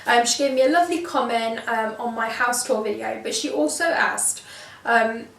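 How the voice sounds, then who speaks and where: A woman in her early thirties from the UK, chatting to camera in a relaxed vlog with lots of ums and repeated words. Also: on the high side, moderate pace, vocal fry, far from the mic. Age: 30s. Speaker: lifestyle vlogger. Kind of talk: casual chat to camera. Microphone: shotgun mic on camera. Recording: echoey room, mic set back.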